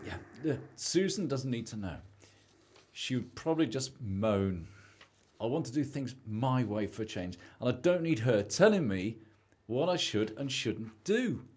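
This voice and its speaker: A young British man playing a selfish, excitable married debtor who thinks he's rich, in an amateur radio play. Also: medium pitch, unhurried, breathy edge. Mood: offhand.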